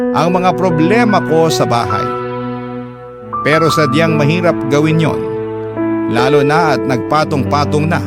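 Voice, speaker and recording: An actor with a Filipino accent playing a troubled husband who narrates his confession in measured, dramatic radio style. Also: on the high side. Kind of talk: dramatic first-person scripted narration. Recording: loud music under the voice.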